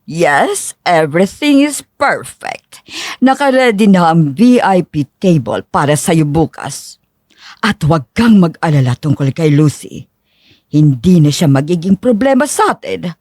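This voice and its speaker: A woman's voice: sits low, sing-song, unhurried, a little mellow, no echo, clean studio sound, full character voice. A woman playing a haughty, cruel older mother-in-law in a dramatized audio story, disdainful and imperious. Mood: smug, sugary.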